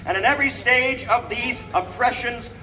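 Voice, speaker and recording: An actor playing an eighteenth-century American statesman, a solemn and fervent orator declaiming grandly and deliberately to the public in a historical reenactment. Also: extremely high pitch, over music. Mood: solemn, aggrieved. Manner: grand, emphatic, deliberate phrasing projected to a crowd. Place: outdoors before a crowd, speaking from a balcony. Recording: outdoor crowd recording from a distance, noisy.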